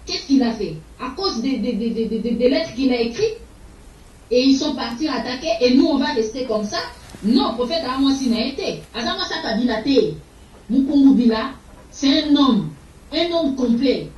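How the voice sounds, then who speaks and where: A woman's voice: sits high, lively intonation, normal speed, darkish tone. Fiery older woman, echoey and distant. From Congo. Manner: fiery, repetitive, stumbles over repeated words. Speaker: political activist. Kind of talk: impassioned interview answers. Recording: echoey, distant, dull sound.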